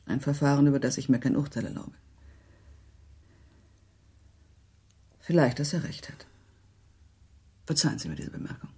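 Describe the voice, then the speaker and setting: Gracious, mellow, husky society lady. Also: a bass voice, sing-song, exaggerated voice. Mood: gracious, tactful. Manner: formal, measured, diplomatic. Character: gracious, tactful high-society lady, a minister's wife. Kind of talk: German radio play acting.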